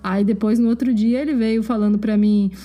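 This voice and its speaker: A Brazilian woman with a Brazilian accent, a podcast guest chatting casually and frankly, animated and quick to laugh. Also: fairly high pitch, muffled recording. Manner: animated, frank, swears when annoyed, laughs easily. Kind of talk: casual chat.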